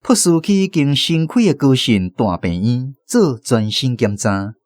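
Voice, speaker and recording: A man's voice: medium pitch, expressive, unhurried, a little mellow, no echo. A man with a Taiwanese accent narrating a scripted radio drama as a storyteller.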